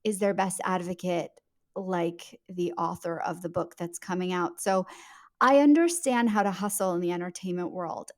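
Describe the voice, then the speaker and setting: A woman speaking as a guest author in a conversational podcast interview, explaining things articulately and stressing key words. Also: lowish voice.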